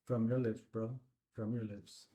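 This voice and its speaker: An actor playing a laid-back, wary small-town cop in a table read, speaking in clipped colloquial phrases. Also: male voice, lowish voice, little pitch movement, a little slow, mellow, natural voice. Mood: wry, resentful. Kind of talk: filmed screenplay table read.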